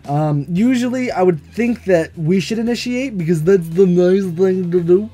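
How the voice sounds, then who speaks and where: A man reading a visual novel aloud and commenting on it in a playful, excitable, self-deprecating way. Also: high voice, moderate pace. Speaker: gaming video host. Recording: music under the voice.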